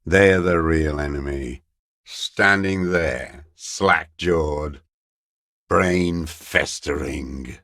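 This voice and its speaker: Casting: a bitter old sniper in hiding, video game; creaky, gravelly, scornful, very dry close sound. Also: male voice, extremely low pitch, fairly bright tone, no room sound, exaggerated voice. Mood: contemptuous, goading. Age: older. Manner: slow, contemptuous, bitter invective. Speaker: video game character actor. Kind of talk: dramatic video game voice acting.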